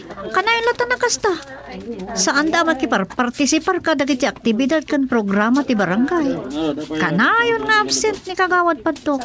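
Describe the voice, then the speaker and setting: A woman's voice: high voice, very animated, normal speed, crowd noise. A woman with an Ilocano accent playing a dutiful village secretary in a radio drama, reporting politely.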